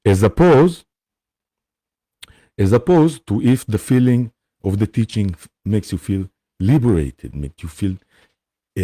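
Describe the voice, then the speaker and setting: A man with an Israeli accent gives a spiritual talk on a live stream. His voice sits fairly low and sounds mellow, and he speaks very slowly and haltingly. He pauses often to check in with his listeners, and the sound is dry and close. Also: dry recording. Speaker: spiritual teacher hosting a live session.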